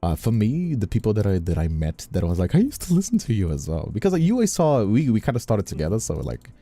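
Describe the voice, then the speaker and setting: A man from Brazil talks casually on a livestream in a very low voice. His delivery is lively and swings up and down, with a mellow, relaxed tone and informal, emphatic repetition. Faint music plays behind him. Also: fast, no room sound. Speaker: streamer and voice actor.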